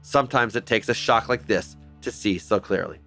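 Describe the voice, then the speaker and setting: A man's voice: lowish voice, normal speed, gravelly, fairly bright tone, faint music behind. Man narrating an earnest history explainer. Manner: earnest, with wry asides. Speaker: host.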